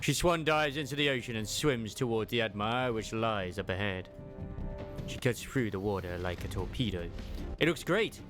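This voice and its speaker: A man's voice: lowish voice, animated, moderate pace, over music. A man reading scripted story narration as a voice-over for a fandub.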